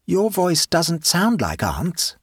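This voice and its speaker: A man's voice: fairly high pitch, sing-song, moderate pace, husky, no echo, studio quality, voice pushed up, heavily acted. A man doing a cheeky clever boy. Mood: sly. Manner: innocent but sly, gleeful.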